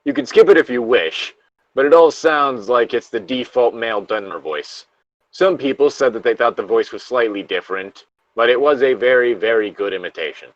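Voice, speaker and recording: A man's voice: medium pitch, moderate pace, dry recording, dull audio. A man reading a horror story aloud as co-host, casually, with joking asides and the odd stumble. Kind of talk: casual co-reading of creepypasta with banter.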